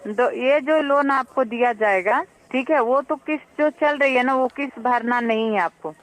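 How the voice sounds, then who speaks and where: A woman's voice: high-pitched, normal speed. A woman with an Indian accent working as a call centre agent, politely explaining figures on a recorded phone call, often repeating herself and checking understanding.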